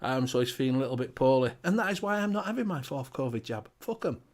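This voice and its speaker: A man's voice: medium pitch, expressive, fast talker. A man with a Northern English accent hosting a casual live-stream chat, swearing freely and reading out viewer names. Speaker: show host.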